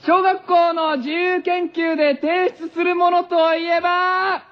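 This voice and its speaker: A man in his thirties co-hosting a radio show, reading out quiz topics and adding playful, deadpan quips in casual banter. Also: very high-pitched, slow. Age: 30s. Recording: muffled, band-limited radio recording.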